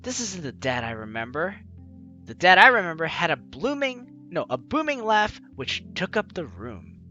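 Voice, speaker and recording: A man's voice: on the high side, wildly swooping pitch, normal speed, sharp tone, dull audio, soft background music. A man reading a story game aloud as a let's player, with dramatic narration and casual asides. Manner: dramatic reading, slipping into offhand comments.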